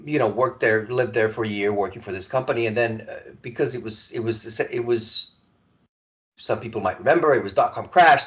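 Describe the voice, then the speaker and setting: Male guest, 40s, from Serbia; low voice, rambling, halting conversational telling. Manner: halting, many restarts and repeated words. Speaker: podcast guest. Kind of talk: casual conversational storytelling.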